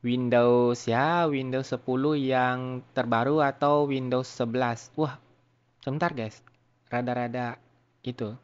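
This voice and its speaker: A man's voice: medium pitch, steady tone, slow pace, smooth, dull audio. Casual man giving a tutorial. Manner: informal, slangy, chatty, addressing viewers. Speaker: tutorial YouTuber.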